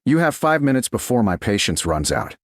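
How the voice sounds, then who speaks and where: A man voicing a stern, wounded duke in a romance audiobook, speaking in terse, sharp, demanding lines. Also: mid-range voice, very animated, brisk, dry recording, clean studio sound, close to an everyday voice. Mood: impatient, disbelieving.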